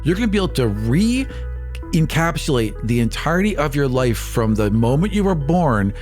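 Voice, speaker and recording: A man's voice: medium pitch, sing-song, normal speed, darkish tone, faint music behind. A man chatting casually as a podcast co-host, with a dry storytelling manner. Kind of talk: casual podcast panel chat.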